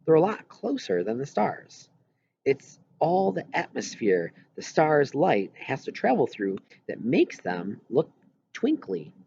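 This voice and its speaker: A man reading an audiobook, voicing an earnest boy who eagerly explains things and has a quietly wistful streak. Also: on the high side, huge pitch swings, slow, vocal fry, lo-fi sound, slight background noise, pitched above the performer's own voice, exaggerated voice. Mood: eager, explaining. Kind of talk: audiobook character dialogue.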